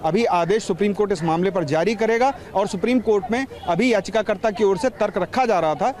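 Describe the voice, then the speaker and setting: A man in his forties reports live from a street outside, holding a handheld microphone. His voice sits high for a man, and he explains things steadily, glossing terms as he goes. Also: brisk, darkish tone. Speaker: field reporter. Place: outdoors by a street.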